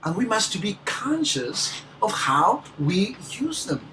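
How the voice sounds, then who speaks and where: An unhurried motivational speaker with a Peruvian Spanish accent, and the voice swings widely up and down for emphasis. Spanish is their first language, and they break into small laughs as they urge the listener on. The mic sits at a distance, with faint noise behind. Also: medium pitch, very animated, sharp tone. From Peru. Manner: earnest and emphatic, with frequent small laughs and restarts. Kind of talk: motivational speech.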